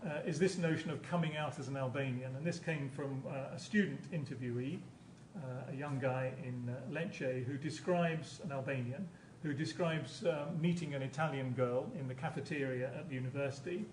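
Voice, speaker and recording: A man's voice: mid-range voice, moderate pace, a little mellow. Echoey, distant male academic lecturer. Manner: hesitant, repeats words and phrases while explaining. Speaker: university professor lecturing. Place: lecture room at a conference. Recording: echoey room, distant mic, background noise.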